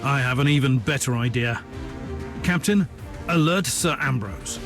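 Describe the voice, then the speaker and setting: A man's voice: mid-range voice, music in the background, natural voice. Actor as stern, commanding male Inquisitor. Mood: composed, commanding. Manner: measured, dry, authoritative. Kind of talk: dramatic game cutscene dialogue.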